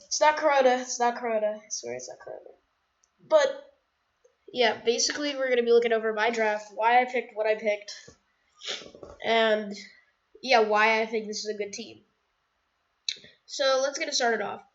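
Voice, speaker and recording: A woman speaking with a stuffy, nasal voice from a cold, pitched a little high. She explains her competitive choices casually and emphatically, talking straight to her viewers. The recording is dull and lo-fi. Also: fairly even intonation, moderate pace, long pauses. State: sick with a cold. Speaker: video creator. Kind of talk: casual competitive gaming analysis.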